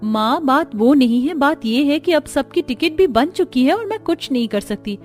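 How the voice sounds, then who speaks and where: A woman's voice: high voice, lively intonation, normal speed, soft background music. A woman voicing an anxious, reluctant young daughter-in-law in a cartoon story. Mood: worried, reluctant. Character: anxious young daughter-in-law dreading cold village life.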